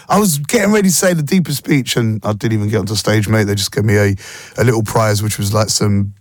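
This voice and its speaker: A man hosting a morning radio show, telling jokey stories in a casual, slangy, excitable way, his voice a little croaky. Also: medium pitch, dry recording, studio quality. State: slightly croaky voice. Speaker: radio breakfast show host. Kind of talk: casual chatty storytelling. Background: background music.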